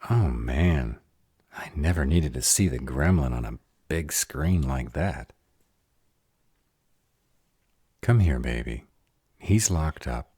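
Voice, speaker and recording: A man's voice: extremely low pitch, warm tone, slightly rough, dry recording, clean studio sound, heavily acted. An actor playing a sarcastic but protective male were-dragon in an audio roleplay, muttering sardonic asides. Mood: tender relief.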